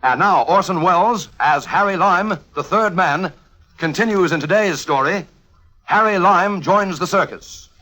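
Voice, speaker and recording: Male vintage radio announcer, high-pitched and bright, dramatic program-introduction delivery. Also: measured pace, pauses often, poor audio.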